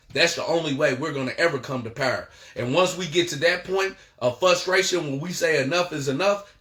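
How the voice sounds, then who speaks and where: A man's voice: fairly high pitch, bright, forceful tone. An American man giving a political education lecture in an emphatic, rallying manner, often stumbling and repeating himself. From United States. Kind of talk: impassioned political lecture with read-out passages. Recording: roomy, echoing sound.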